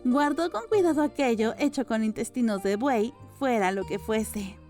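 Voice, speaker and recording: A woman narrates a novel in scripted audiobook style. Her voice sits fairly high, with a dark, mellow tone. Music plays softly behind her. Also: animated, normal speed. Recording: music under the voice. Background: background music.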